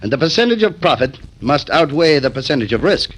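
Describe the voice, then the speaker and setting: A man's voice: on the high side, big pitch swings, fairly quick, fairly bright tone, husky, heavily acted. A man playing a smug crime syndicate boss who lectures like a company executive, in 1940s radio acting style. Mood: smooth, lecturing. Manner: pompous, lecturing, businesslike. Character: smug male murder-syndicate boss talking like a businessman. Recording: vintage 1940s radio recording.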